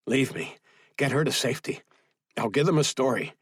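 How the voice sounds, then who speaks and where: A wounded, gritty male comrade, acted by an audiobook narrator insists stubbornly in a strained voice. It sits higher than the narration, with a very dry, close sound. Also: lively intonation, normal speed, breathy edge, no echo. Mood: pained, resolute.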